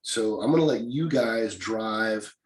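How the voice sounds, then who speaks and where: A man with a slightly raspy voice, teaching a live online class in a casual, encouraging way that keeps checking in with "okay?". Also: lowish voice, slow, a little hiss or hum. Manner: folksy, encouraging, repeats "okay?". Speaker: real estate investing teacher. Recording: echoey room sound.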